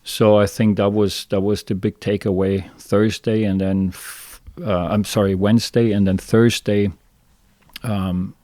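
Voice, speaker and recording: A man from Germany with a southern Bavarian accent, a guest on a podcast telling his story in a halting way, with many fillers and restarts. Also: fairly low pitch, little pitch movement, mellow, no echo, studio quality. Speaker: guest, fishing guide. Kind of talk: casual interview storytelling.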